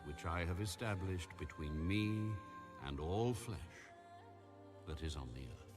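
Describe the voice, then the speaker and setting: A man's voice: sits low, measured pace, music in the background, pitched below the performer's own voice. A man voicing God in a scripture reading, declaring a covenant with grave majesty. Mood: solemn, majestic.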